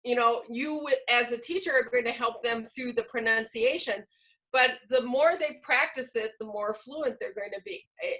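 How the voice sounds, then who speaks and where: A woman leads a training session in a bright, forward voice set a little high. She teaches informally, answering questions and spelling out her points with practical examples. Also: poor audio. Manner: explanatory, illustrates points with examples.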